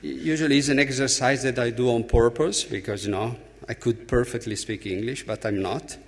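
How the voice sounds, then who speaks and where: Male conference speaker, Italian accent, emphatic and halting, mid-pitched voice in a hall with long reverb. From Italy. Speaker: speaker at a symposium opening. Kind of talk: conference speech.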